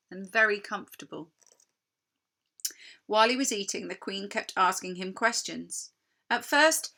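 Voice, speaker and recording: A woman reads a children's story with an expressive, narrator's delivery. Her voice sits at a middle pitch and has a very bright, forward edge. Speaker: storybook reader.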